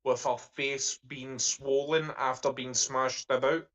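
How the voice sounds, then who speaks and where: A man's voice: medium pitch, little pitch movement, takes it slowly, fairly bright tone. A man giving blunt opinions in a casual discussion, using slang. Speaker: discussion panelist, security industry worker.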